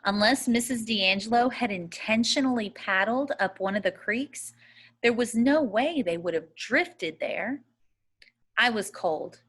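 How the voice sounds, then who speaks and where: A woman reading a first-person novel aloud as a storyteller, in a measured, expressive way. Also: fairly high pitch, slightly rough. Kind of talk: audiobook-style readaloud of a first-person children's novel.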